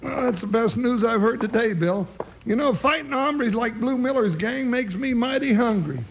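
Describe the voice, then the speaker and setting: A man's voice: lively intonation, moderate pace. A man playing a big, jovial, folksy American lawman sidekick in an old-time radio western, drawling in cowboy slang. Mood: cheerful, boastful. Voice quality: creaky, high-pitched voice. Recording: noisy, clicking old radio recording.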